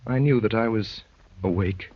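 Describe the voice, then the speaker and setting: A man as a husky, haunted poet narrator. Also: on the low side, normal speed, natural voice. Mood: wistful, narrating. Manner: dramatic, rhapsodic, literary. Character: earnest, haunted poet-detective recounting his strange vision. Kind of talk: 1930s radio drama narration and acting. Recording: muffled, lo-fi 1930s radio recording.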